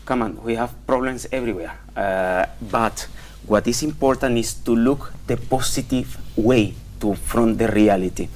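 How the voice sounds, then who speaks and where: Man, interview guest, reflective, mid pitch. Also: normal speed, darkish tone, slight background noise. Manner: reflective, hesitant with fillers. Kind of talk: casual interview.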